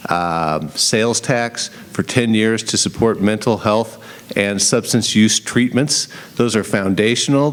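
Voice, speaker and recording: Low-voiced man making a candidate's statement. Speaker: city council candidate. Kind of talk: formal forum closing statement.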